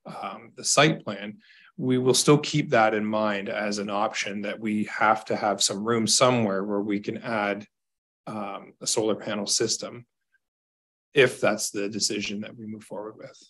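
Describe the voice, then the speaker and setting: A Canadian man in his thirties, a staff member reporting to a council over a video call from an office, measured and hesitant with fillers. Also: fairly low pitch, steady tone, fairly bright tone. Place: small office.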